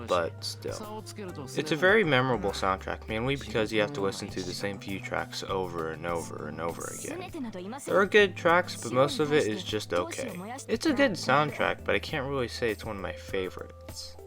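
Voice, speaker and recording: A man's voice: on the low side, very animated, moderate pace. A man reading a scripted video review in an informal, opinionated way. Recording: music behind the voice. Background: background music.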